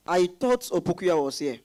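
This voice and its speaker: A woman playing a gentle, concerned visitor in a dramatized reading. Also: lowish voice. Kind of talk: dramatized stage-style reading.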